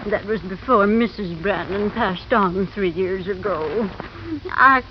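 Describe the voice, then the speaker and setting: A woman's voice: medium pitch, takes it slowly, close to an everyday voice. Woman acting older small-town gossip, fluttery, fretful, confiding, vintage radio drama, muffled noisy recording. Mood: fretful, sympathetic.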